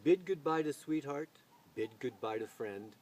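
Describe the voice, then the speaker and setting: A mellow-voiced man speaks as a poetry reader, with deliberate, expressive phrasing. He is some way from the microphone. Faint noise and ambience with birds and insects sit around his voice. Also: medium pitch, recorded from a distance. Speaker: video channel host reading poetry aloud. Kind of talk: expressive poetry recitation with casual spoken introduction.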